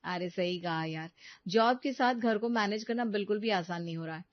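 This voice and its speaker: A woman's voice: mid-range voice, moderate pace, dry recording, muffled recording, lightly acted. A woman playing a young working daughter-in-law in a cartoon story, chatty, polite and given to complaint. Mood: exasperated.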